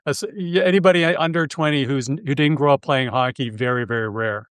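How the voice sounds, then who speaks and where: A man's voice: medium pitch, brisk, a bit bright, clear, resonant tone, no room sound. Friendly, enthusiastic Canadian man hosting. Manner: friendly, enthusiastic, restarts and self-corrects. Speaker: podcast host. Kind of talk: conversational interview.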